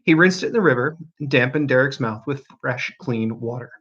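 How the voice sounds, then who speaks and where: A man reading a novel aloud as an expressive narrator, with deliberate, dramatic pauses and emphasis. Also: mid-range voice, husky, dull audio.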